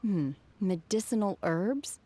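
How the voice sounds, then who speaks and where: A woman's voice: mid-range voice, slow, very noisy, close to an everyday voice. A woman voicing an aloof, plain-spoken female warrior hermit in a video game, formal and unemotional. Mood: flat. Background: game music.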